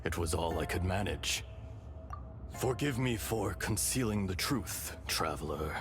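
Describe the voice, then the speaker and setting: An actor playing a calm, wise old dragon spirit in human form, speaking in a measured, solemn way in a video game. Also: male voice, sits low. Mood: grave, reflective. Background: music.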